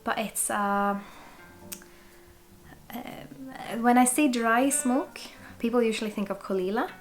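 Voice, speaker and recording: A woman in her twenties from Sweden with a Swedish accent, chatting excitedly and thinking aloud as she reviews a whisky. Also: fairly high pitch, slow pace, soft background music. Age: 20s. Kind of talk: casual tasting review.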